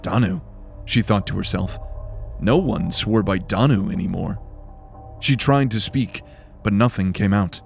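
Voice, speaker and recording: A man's voice: on the low side, unhurried, creaky, pauses often. A man narrating fantasy fiction in a scripted, dramatic storytelling style. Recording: music behind the voice. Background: music.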